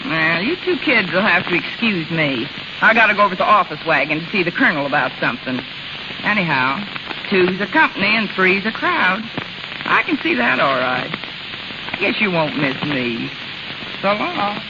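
A woman's voice: on the low side, sing-song, normal speed. An actress playing a jilted, scheming carnival woman in slangy American 1930s radio drama. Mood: falsely friendly. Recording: muffled, hissy, crackling 1930s radio recording.

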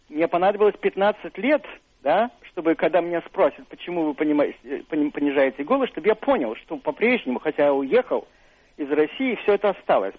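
An older man, a professor, answers slowly and reflectively, pausing on fillers. His voice sits somewhat high. The sound is dry and boxy, like a narrow radio line. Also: slightly rough, no room sound. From Russia. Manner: thoughtful, hesitant, many fillers and restarts. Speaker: author and university professor, studio guest.

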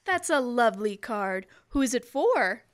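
A young woman in her teens playing a gently chiding, reasonable suburban mother in old-time radio comedy style. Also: on the high side, very animated, a little slow, very noisy. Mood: approving. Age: teenager. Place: school library. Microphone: standing stage microphone.

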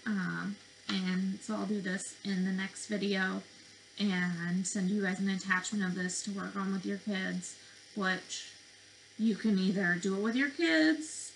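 Bright-voiced young woman teaching small children. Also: mid-range voice, a little hiss or hum, poor audio. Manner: animated, questioning delivery for young children. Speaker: speech-language pathologist reading to preschoolers. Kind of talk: interactive children's read-aloud lesson. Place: small bedroom indoors.

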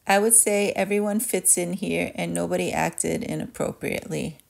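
Low-pitched, creaky-voiced woman vlogger. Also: fairly even intonation, moderate pace. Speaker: travel vlogger. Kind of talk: casual voice-over review of a cruise trip.

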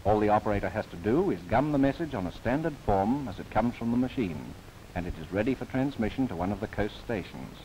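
Lively 1930s newsreel narrator, man. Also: lowish voice, fast, rough recording. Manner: lively, playful asides. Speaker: documentary narrator. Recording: old 1939 film soundtrack with faint hiss.

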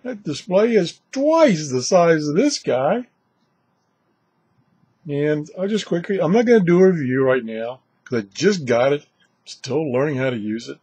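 A man's voice: on the high side, animated, unhurried, a little mellow, husky, no echo. An older man hosting a casual, unscripted product vlog, chatting in a rambling way. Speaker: video host reviewing shortwave radios. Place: indoors, small cluttered radio room.

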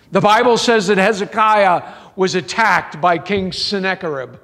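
A man preaching a sermon in a church, with rising emphatic phrases, drawn-out endings and rhetorical questions to the congregation. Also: sits high, gravelly, fairly bright tone. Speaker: pastor.

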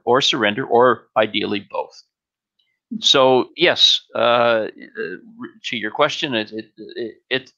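An older man speaks as a spiritual teacher in a live Q&A. His voice is mid-pitched and bright, with measured, halting phrasing and emphatic short statements. The sound is slightly dull, as from a headset on a video call. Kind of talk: spiritual Q&A talk, explanatory.

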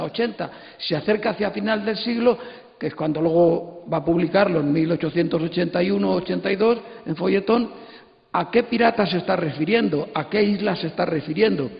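A man's voice: high-pitched, moderate pace, vocal fry. A man in his sixties with a Castilian Spanish accent, speaking emphatically and digressively as a panelist, repeating phrases as he explains. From Spain. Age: 60s. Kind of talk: animated informal lecture to an audience. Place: stage of an auditorium. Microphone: handheld microphone. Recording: echoey hall PA, dull sound.

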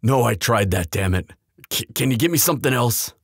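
A man playing a guilt-ridden bodyguard in love in a roleplay audio drama, speaking in an urgent, halting, earnest way. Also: mid-range voice, lively intonation, slightly rough, dry recording, clean studio sound. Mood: frantic.

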